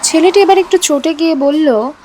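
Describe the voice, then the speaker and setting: A woman's voice: high-pitched, moderate pace, darkish tone. A woman reading a scripted audio story as its narrator. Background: background music.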